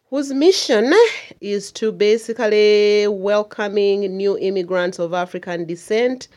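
Middle-aged woman, lower register, community leader in a radio interview, earnest and emphatic. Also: a little mellow. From Uganda. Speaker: community association chair.

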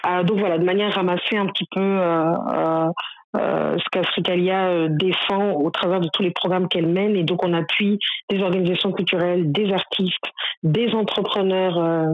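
A woman from Burundi answers interview questions about her work, pausing often with fillers and restarting her sentences. Her voice comes through slightly muffled and dry, as if over a remote line. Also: fairly low pitch, steady tone, no room sound. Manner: hesitant, many fillers and restarts. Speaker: guest, director of a cultural organization.

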